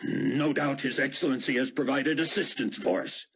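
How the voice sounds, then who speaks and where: Haughty male official, pompous and clipped, husky voice, as a narrator puts him on. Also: medium pitch, normal speed, fairly bright tone, voice pushed up, rough recording. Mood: indignant.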